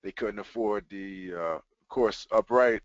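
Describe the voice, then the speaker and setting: A man's voice: fairly low pitch, measured pace, heavy background noise. A man hosting a live webinar, chatting casually with fillers like "you know". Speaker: webinar host. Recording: dull lo-fi webinar audio.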